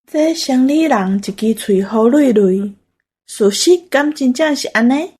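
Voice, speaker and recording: Woman playing a husky, practical old Taiwanese grandma. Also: a little high, animated, measured pace, warm tone, pitched above the performer's own voice. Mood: skeptical. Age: older. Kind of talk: audio drama acting.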